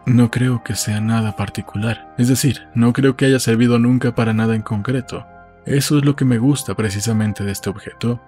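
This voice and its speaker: A man playing an uneasy, wistful man in an audiobook, speaking in a slow, subdued way. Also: mid-range voice, steady tone, dark tone, soft background music, lightly acted. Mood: pensive. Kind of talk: audiobook dialogue acting.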